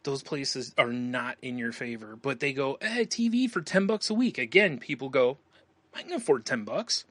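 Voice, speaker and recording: A man's voice: medium pitch, big pitch swings, moderate pace, a bit bright, no room sound. A man co-hosting a podcast in casual, slangy comedic chat, riffing with little acted-out quotes. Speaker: podcast co-host.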